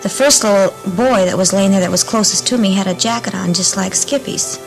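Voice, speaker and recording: A woman's voice: mid-range voice, brisk, gravelly, poor audio. A woman recounting her memories in an unscripted 1950s radio interview. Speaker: interviewed mother. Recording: 1950s radio tape, music underneath.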